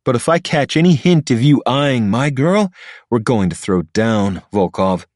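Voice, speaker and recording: A man's voice: medium pitch, lively intonation, unhurried, a little mellow, no echo, studio quality, pitched above the performer's own voice, natural voice. A man voicing a smug, pompous young man who sneers and blusters at his rival. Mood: sneering. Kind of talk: audiobook character voice.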